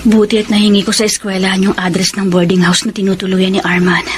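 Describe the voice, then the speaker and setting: An actress playing a devoted, heartbroken middle-aged wife in a Filipino radio drama, pleading and emotional with a Filipino accent. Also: female voice, medium pitch, flat delivery, fast talker, background noise, natural voice. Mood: nervous.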